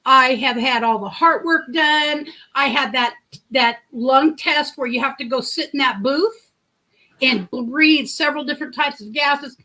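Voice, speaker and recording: A woman's voice: high-pitched, a little slow, bright, forceful tone, low-quality recording. A middle-aged woman hosting a livestream, chatting in a rambling way, telling a story and answering comments from her chat. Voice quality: big voice. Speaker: livestream host. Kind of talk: casual live-chat storytelling.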